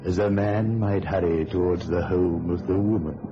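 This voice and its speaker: A man's voice: deep voice, fairly even intonation, normal speed, natural voice. A man giving solemn, ominous scripted narration in an old radio thriller. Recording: dull vintage radio recording. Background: music.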